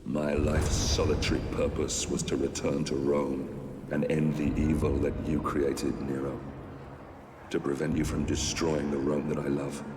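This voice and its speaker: An actor playing a grim, vengeful Roman soldier, narrating in a solemn, measured way as in a cinematic video game. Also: male voice, extremely low pitch, completely flat delivery. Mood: grim, resolute. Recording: reverberant hall, clear background noise.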